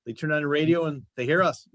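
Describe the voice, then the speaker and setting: Male host, slightly high-pitched, easygoing conversational interviewer, encouraging, recorded dry. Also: quick pace, fairly bright tone, no room sound.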